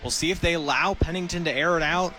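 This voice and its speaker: A man's voice: fairly high pitch, fast talker, bright, forceful tone, soft background music. A man with an American accent giving conversational, explanatory color analysis during a live football radio broadcast.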